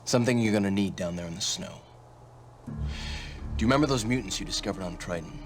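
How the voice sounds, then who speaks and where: Man acting a deep-voiced wry military commander, music behind. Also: quick pace, lightly acted. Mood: dry, businesslike. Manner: sardonic, commanding. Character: gruff, wry male military commander giving mission briefings. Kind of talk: 1990s video game cutscene acting.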